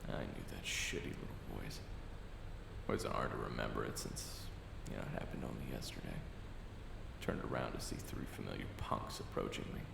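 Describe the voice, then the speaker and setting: A man playing a weary boss with a buried temper in a dramatic audio roleplay, gravelly and brooding. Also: extremely low pitch, normal speed, echoey room, background noise, full character voice. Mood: brooding, resigned. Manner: brooding when narrating, exasperated in dialogue. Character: weary reformed hot-tempered man, a soft-pushover boss. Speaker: narrator and lead character.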